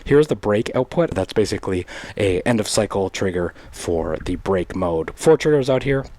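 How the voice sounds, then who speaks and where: A man's voice: lowish voice, lively intonation, normal speed, darkish tone, dry recording. A young man on a headset mic in a small home studio, talking casually and rambling with lots of fillers while demoing gear, his voice still recovering from a sinus infection. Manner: rambling, filler-heavy, enthusiastic. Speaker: synth module reviewer. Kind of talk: casual demo talk. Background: electronic music from modules.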